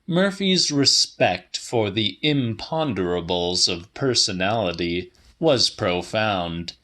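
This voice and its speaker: A man narrating a literary audiobook in a dramatic, theatrical, deliberate manner. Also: fairly low pitch, lively intonation, pushed and bright.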